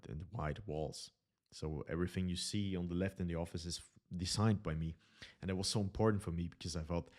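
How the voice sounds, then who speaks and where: A man with a German accent, a native German speaker, earnestly teaching about consciousness over slides and often pausing to correct his words. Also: sits low. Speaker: consciousness mentor.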